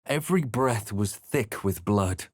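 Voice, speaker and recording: Measured fantasy adventurer hero, close dry sound. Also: male voice, fairly low pitch, animated, no echo. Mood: hushed, grave. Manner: measured, theatrical storytelling delivery. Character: young male fantasy adventurer hero, earnest and guarded. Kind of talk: dramatic first-person narration and reactions in a fantasy game.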